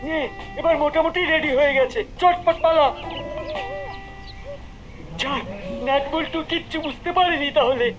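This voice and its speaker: An actor playing a sneaky, excitable small-time crooked shopkeeper who mutters and connives in a cartoon. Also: high-pitched, normal speed, pauses often, lo-fi sound, music in the background, lightly acted. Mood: sly. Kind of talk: cartoon voice acting.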